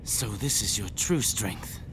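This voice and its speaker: An actor playing a stern, proud vampire hunter who speaks in commanding, formal, archaic phrases for a video game. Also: male voice, fairly low pitch, animated, takes it slowly, fairly bright tone, a little hiss or hum. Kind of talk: dramatic video game acting. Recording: music behind the voice. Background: music.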